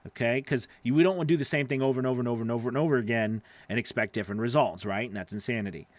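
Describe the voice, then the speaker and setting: A man in his forties talks casually as a livestream host and coach. His voice sits at a medium pitch, recorded dry and close on a studio mic in a small home studio. He is encouraging and emphatic, often repeating a phrase to drive it home. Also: racing, dry recording. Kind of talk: casual livestream advice, answering viewer questions. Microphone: close studio microphone on boom arm.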